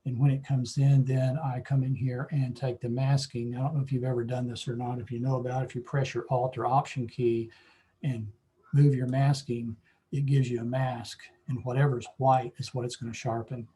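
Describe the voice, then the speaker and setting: A hoarse man teaching a photo-editing lesson, explaining at an easy pace with lots of fillers. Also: mid-range voice, moderate pace, a little hiss or hum. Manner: relaxed, explanatory, with frequent fillers. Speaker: photography club instructor. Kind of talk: screen-share software tutorial.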